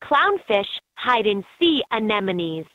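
A woman's voice: medium pitch, very animated, takes it slowly, fairly bright tone, no echo. A woman voicing an upbeat guide in a children's educational animal game, in scripted narration with slightly dull game audio.

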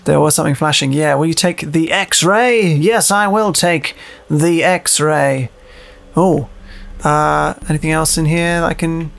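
A man's voice: a little high, unhurried, gravelly, a bit bright. A man giving casual, jokey let's-play commentary, muttering and swearing as he plays. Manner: chatty, jokey, sweary, mutters to himself while playing. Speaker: gaming show host, playing the game.

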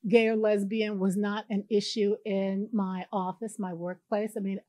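A woman's voice: mid-range voice, level tone, moderate pace, mellow, no room sound. An older woman, a guest, talking animatedly in a group chat, often restarting her words and laughing.

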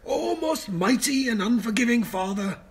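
A man playing a stern, pious, suspicious Scottish farmer with a gruff, sanctimonious manner in a homemade radio play. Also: very high-pitched, lively intonation, moderate pace, full character voice. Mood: sanctimonious, solemn. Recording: homemade recording with background noise.

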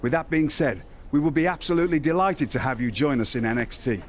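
A man's voice: fairly high pitch, fast, a little hiss or hum, close to an everyday voice. A man voicing a formal, refined and condescending wrestling executive in a video game. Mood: measured.

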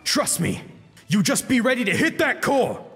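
A man voicing a gruff, hot-headed gunman in an audiobook, blunt, impatient and defiant. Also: extremely high pitch, very animated, moderate pace, fairly bright tone, long reverb tail, faint music behind, pitched above the performer's own voice, full character voice. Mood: determined.

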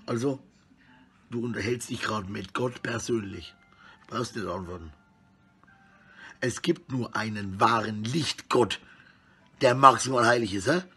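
A middle-aged man with a husky voice rambles casually and coarsely in slang, talking to his phone at arm's length indoors. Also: on the low side, a little slow, long pauses, bright, forceful tone. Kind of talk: rambling casual selfie monologue. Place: small indoor room at home.

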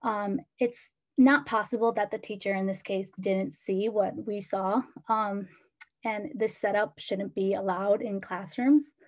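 A teenage woman from Ecuador, a student panelist, giving earnest personal testimony over a video call, with frequent pauses. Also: medium pitch, fairly even intonation.

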